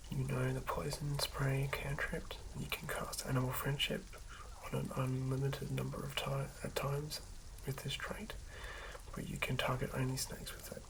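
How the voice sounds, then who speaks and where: A host whispering in a breathy, hushed ASMR style, reading aloud and commenting casually in an unhurried way. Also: medium pitch, level tone, poor audio. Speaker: host reading and building a tabletop game character.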